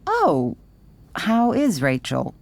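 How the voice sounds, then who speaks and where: An actress voicing an anxious, gentle middle-aged mother in a game cutscene, softly pleading and patient. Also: mid-range voice, wildly swooping pitch, drawn-out words, gravelly, full character voice. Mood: worried, coaxing. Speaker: video game voice actor. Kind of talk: dramatic game cutscene acting.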